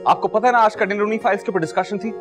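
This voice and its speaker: A man's voice: sits high, steady tone, rapid-fire, over music, lightly acted. An actor playing a stern, demanding company boss, curt and authoritative, dropping English phrases into his speech. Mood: angry. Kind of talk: TV comedy drama acting.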